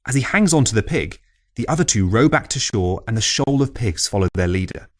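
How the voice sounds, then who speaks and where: A man's voice: on the low side, animated, fairly quick. A man reading scripted local history as an audiobook narrator, with a wry storytelling manner.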